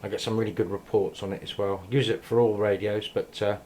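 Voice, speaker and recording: Male hobbyist vlogger, lowish voice, loose and hesitant show-and-tell delivery, caught on a handheld camera mic with light noise behind. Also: flat delivery, brisk, darkish tone. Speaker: amateur radio operator filming shack tour. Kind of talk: casual handheld video tour, ad-lib commentary. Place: small indoor radio room. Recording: noisy handheld camera sound.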